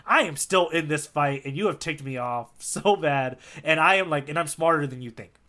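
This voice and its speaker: Bright-voiced, higher-pitched man explaining excitedly. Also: moderate pace. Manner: animated explainer who often checks with "right?". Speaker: podcast co-host explaining a manga fight. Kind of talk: casual conversational explanation.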